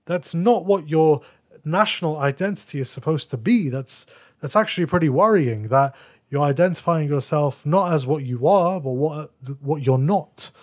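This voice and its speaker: A man talking as a podcast guest, explaining his views in an animated, blunt and casual way. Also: a little high, normal speed. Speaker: podcast guest, article writer. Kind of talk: casual opinionated discussion.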